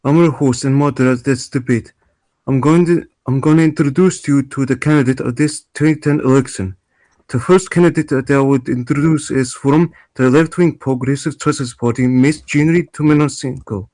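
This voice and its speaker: A man's voice: medium pitch, steady tone, moderate pace, a little mellow, natural voice. Polite, formal debate host, played by a man, mid-range voice, introducing candidates in a casual table read. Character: polite male election debate moderator. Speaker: actor in an amateur table read.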